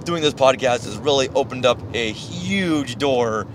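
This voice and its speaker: A man's voice: medium pitch, expressive, measured pace, background noise. A man with an American accent co-hosting a podcast in casual, chatty style. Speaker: podcast co-host. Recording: music behind the voice. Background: music.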